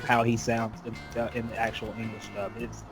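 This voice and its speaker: A man's voice: lowish voice, monotone, normal speed, gravelly. Male podcast host with music behind. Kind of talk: casual roundtable podcast talk.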